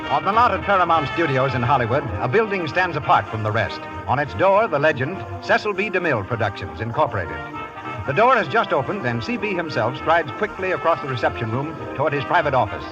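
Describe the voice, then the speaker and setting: Fast, animated old-time radio narrator. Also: male voice, fairly high pitch. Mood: dramatic, brisk. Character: brisk, dramatic scene-setting radio narrator. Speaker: radio announcer. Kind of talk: 1940s radio drama scripted narration. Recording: muffled old radio broadcast with music.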